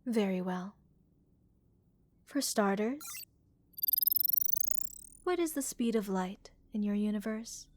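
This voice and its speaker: A woman voicing a curious, calm female alien scientist in a relaxing ASMR roleplay, speaking in a measured, clinical and gently reassuring way. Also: mid-range voice, slight background noise. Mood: thoughtful, measured.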